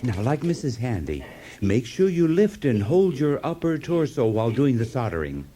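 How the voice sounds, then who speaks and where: An actor playing the gushing, eager announcer and sidekick of a parody DIY radio show. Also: male voice, mid-range voice, very animated, normal speed, mellow, lightly acted. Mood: eager, grandiose.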